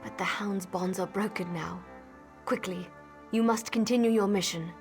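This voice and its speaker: A woman's voice: medium pitch, steady tone, normal speed, faint music behind, natural voice. An actress playing an earnest female elven spirit in a video game. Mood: grateful, urgent. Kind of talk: video game quest dialogue.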